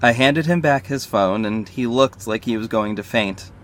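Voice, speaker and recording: A man's voice: medium pitch, normal speed, lightly acted. Confident, flirty male detective-vigilante, played by a man, first-person audio drama lead: mid-range, confiding, slight background noise. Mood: charming, flirty. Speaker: narrator and lead character.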